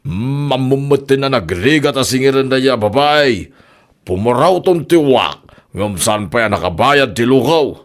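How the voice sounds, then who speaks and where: A man's voice: medium pitch, lively intonation, a little slow. A man with a Filipino Ilocano accent playing a nosy uncle giving advice, in a comedy radio drama. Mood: curious. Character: nosy, advising uncle of the lending neighbour.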